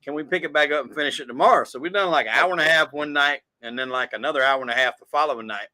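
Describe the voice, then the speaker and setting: A man's voice: fairly high pitch, rapid-fire, sharp tone. A man from South Carolina with a Southern drawl, a podcast host talking as a guest in a loose, profane, casual interview.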